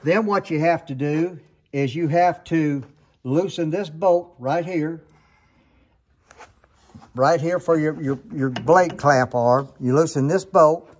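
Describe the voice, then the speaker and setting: A man's voice: fairly high pitch, slow pace, a little mellow. An older man hosting a sawmill how-to show, talking in a folksy, rambling way and often backing up to correct himself, recorded on a handheld camera in an open barn workshop. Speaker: sawmill sharpening show host. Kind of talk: casual how-to demonstration.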